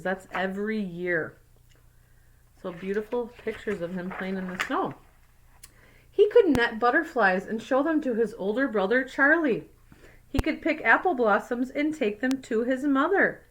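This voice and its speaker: Higher-pitched woman teacher reading aloud. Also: poor audio. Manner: explanatory, adding simple comments for young listeners. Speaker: second grade teacher. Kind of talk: picture book read-aloud with explanatory asides for children.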